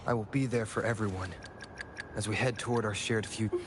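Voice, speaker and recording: Man as an earnest, lowish-voiced game hero. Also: brisk, noisy background, close to an everyday voice. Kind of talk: game voice acting. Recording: loud game sound effects over voice.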